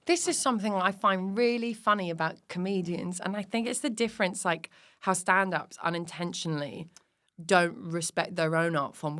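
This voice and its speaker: A woman's voice: lowish voice, a bit bright. A British woman speaking as a comedian guest in a casual interview, rambling and emphatic, with some swearing. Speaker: clown performer and teacher.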